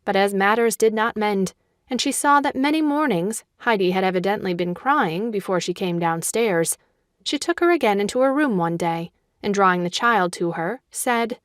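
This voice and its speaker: Casting: female narrator for classic children's fiction, medium pitch, measured storytelling, intimate dry studio sound. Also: expressive, dry recording.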